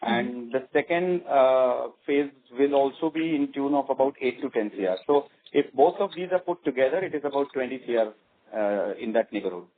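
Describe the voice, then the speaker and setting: A man's voice: medium pitch, moderate pace. Indian-accented man on a tinny phone line. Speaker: company executive. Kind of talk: earnings call answers. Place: conference call.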